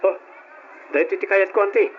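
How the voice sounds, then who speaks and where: A man's voice: sits high, moderate pace, natural voice. A man playing a meek, weary, put-upon young nephew in a radio drama, speaking with an Ilocano accent. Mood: meek, reluctant. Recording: muffled, noisy old radio drama.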